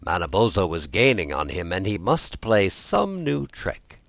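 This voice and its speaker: Male storyteller for scripted folk tale narration, expressive, wide-ranging intonation, dry sound. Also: low-pitched, measured pace, creaky, no room sound.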